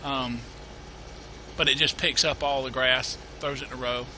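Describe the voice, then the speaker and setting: A man's voice: mid-range voice, little pitch movement, moderate pace, bright, forceful tone, a little hiss or hum. A man with a cold, sniffling a little, casually narrating a farming game tutorial, rambling and correcting himself with lots of fillers. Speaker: gameplay tutorial host. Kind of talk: casual let's-play commentary.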